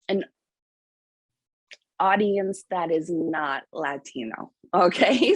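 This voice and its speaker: A woman speaking as an author on a panel, giving candid opinions in a deliberate, emphatic way with a husky voice. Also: lowish voice, no room sound, poor audio. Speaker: picture book author on a panel.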